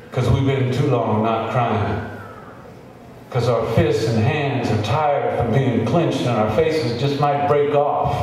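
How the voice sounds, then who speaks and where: A man's voice: on the high side, a little mellow. A man reading poetry aloud as a spoken-word performance, impassioned and emphatic, with incantatory repetition. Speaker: poetry reader. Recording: very echoey reverberant hall, distant mic, noisy.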